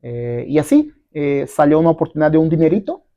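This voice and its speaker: A man's voice: a little high, big pitch swings, brisk, dry recording, dull audio. A middle-aged man whose first language is not Spanish, telling his story in a casual interview, rambling with many fillers and tag questions. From abroad, not Mexico. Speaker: guest entrepreneur.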